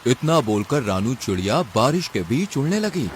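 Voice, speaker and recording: Male storybook narrator, animated intonation, mid pitch, scripted delivery with faint background noise. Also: normal speed.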